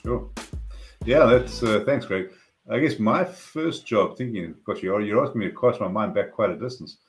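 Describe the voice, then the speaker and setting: Guest: South African man, 40s, deep register, thoughtful consultant tone, light music bed. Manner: measured, explanatory, sprinkled with "you know". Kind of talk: podcast interview.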